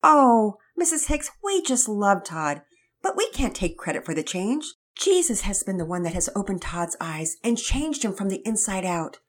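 A kindly mother chats sweetly and offers gentle reassurance, as a woman reader plays her. Her speech rises and falls in a lively, friendly way. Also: mid-range voice, normal speed. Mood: reassuring. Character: gentle, kindly church mother, Mama Peters.

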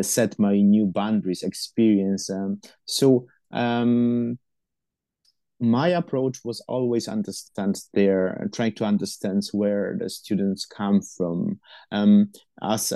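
A man's voice: fairly low pitch, steady tone, takes it slowly, dark tone, no echo. A middle-aged man who is not a native English speaker, answering interview questions about supporting university students, with many fillers and restarts.

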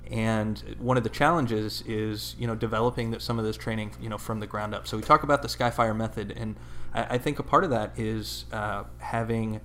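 Male co-host, fairly deep, hesitant conversational delivery, slight background noise. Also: fairly even intonation, fairly quick, a bit bright. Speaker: podcast co-host. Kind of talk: conversational podcast discussion.

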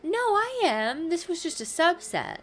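A woman's voice: very high voice, big pitch swings, unhurried, fairly bright tone, soft background music, exaggerated voice. A woman playing a politely evasive, falsely sweet school board mother in an improvised comic radio play, tactful and hedging. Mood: bright, polite.

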